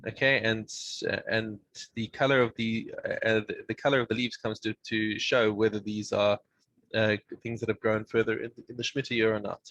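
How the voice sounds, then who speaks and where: A man teaching a Mishnah study session over a video call, explaining in a halting way with many restarts. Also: on the low side, flat delivery, a bit bright, dull audio.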